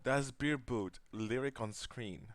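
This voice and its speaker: A man's voice: medium pitch, slow pace, dry recording. A young man co-hosting a casual livestream, goofy and rambling, reading out viewer questions. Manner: goofy, rambling, sings silly jingles. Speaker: livestream co-host.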